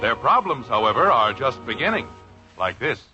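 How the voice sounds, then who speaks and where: Male sitcom narrator, mid pitch, wry and animated, music behind, dull vintage radio sound. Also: moderate pace. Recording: dull old radio broadcast.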